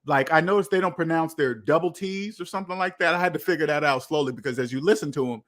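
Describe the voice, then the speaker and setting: American male livestream host, slightly high voice, casual and rambling, circles back on his phrases. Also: quick pace, fairly bright tone. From United States. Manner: rambling, repeats phrases for emphasis. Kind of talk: casual livestream chat, answering viewer comments.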